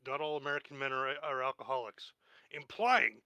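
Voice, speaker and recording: Very bright, sharp-voiced man chatting. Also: medium pitch, a little fast, no echo. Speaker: tabletop game player. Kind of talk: casual chat and anecdote.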